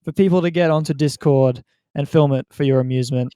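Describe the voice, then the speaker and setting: A man's voice: medium pitch, brisk, dark tone, smooth, no room sound. A man, hosting a casual podcast in a conversational style. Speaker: podcast host.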